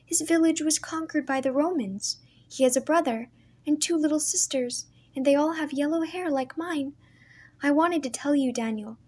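A woman voicing a timid, gentle young girl who speaks high and breathless. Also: normal speed, close to an everyday voice. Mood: shy.

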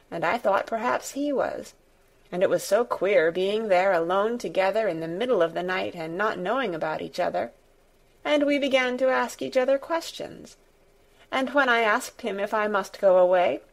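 A frank young girl from a storybook, voiced by a woman, pitched up from the narration. She is determined and curious, and speaks in a direct, matter-of-fact way. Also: close to an everyday voice.

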